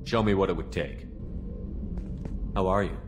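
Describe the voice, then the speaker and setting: An actor playing a direct, businesslike military commander in a video game, speaking plainly and asking pointed questions. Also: male voice, sits low, steady tone, normal speed, music in the background, lightly acted. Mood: businesslike, probing. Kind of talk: video game dialogue acting.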